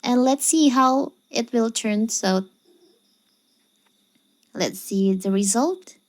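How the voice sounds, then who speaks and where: A woman's voice: fairly high pitch, slow pace. A woman hosting a how-to video, narrating step by step in a scripted tutorial style. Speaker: tutorial channel host.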